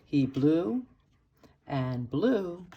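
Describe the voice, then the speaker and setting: A woman reading a children's picture book aloud expressively, with dramatic emphasis and pauses for young listeners. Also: sits low, sing-song, dull audio, a little hiss or hum. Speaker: storybook reader for children's church.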